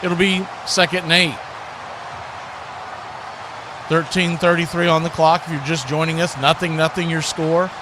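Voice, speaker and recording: Higher-pitched male football play-by-play announcer, noisy background. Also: flat delivery, brisk. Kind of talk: live radio football play-by-play commentary. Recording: clear background noise.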